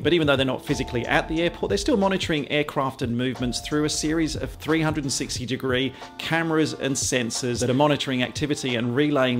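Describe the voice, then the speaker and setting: Man, forties, mid-pitched voice, measured conversational presenter, explanatory delivery, music underneath. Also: a bit bright. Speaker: aviation vlogger and presenter. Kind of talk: explanatory piece to camera. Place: small quiet home office, indoors.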